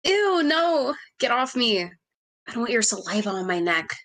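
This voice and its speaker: A woman's voice: on the high side, very animated, moderate pace, a bit bright. A woman reading the part of a nervous, disapproving nice girl in an amateur screenplay table read. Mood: annoyed.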